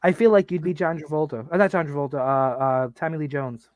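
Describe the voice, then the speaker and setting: A man's voice: fairly high pitch, steady tone, quick pace, no room sound, clean studio sound. A man in his late thirties co-hosting a podcast, rambling and joking crudely in casual banter. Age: 30s. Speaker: podcast co-host.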